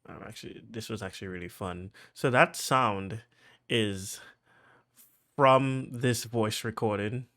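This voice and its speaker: A man with a Trinidadian accent casually talking through how he produced a track, chatty and full of fillers, getting excited as he goes. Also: on the low side, a little slow. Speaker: music producer.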